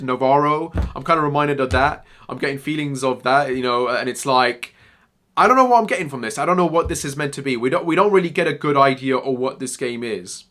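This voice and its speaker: A man's voice: medium pitch, sharp tone. A young man giving rambling, emphatic casual commentary, often repeating himself as he talks. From Germany-born Iranian, raised in England. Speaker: gaming commentator.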